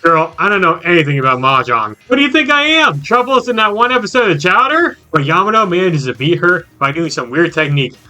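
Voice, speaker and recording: A man's voice: high-pitched, very animated, brisk, hardly any pauses, bright, forceful tone. A man giving a casual, scripted recap of a video game in a jokey, slangy way with exaggerated reactions.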